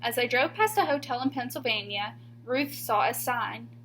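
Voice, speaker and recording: A woman with a high voice reads a picture book to children in a teacher's expressive style. She pauses deliberately and leans on key words. There is some faint noise and hum behind her. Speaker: teacher reading a book aloud.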